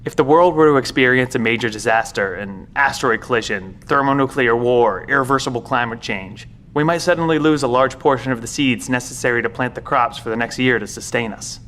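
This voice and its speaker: Audio drama: weary, secretive male professor, played by a man, mid-range voice, measured explainer. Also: medium pitch, a bit bright, slight background noise, natural voice. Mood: grave, explanatory. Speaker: professor, secret bunker guardian.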